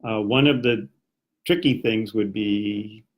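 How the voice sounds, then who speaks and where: A man's voice: on the low side, slow, highs cut off. A young man with a General American accent from Washington, D.C., teaching English on a live stream in a friendly, chatty way with many rhetorical questions. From Washington, D.C., United States.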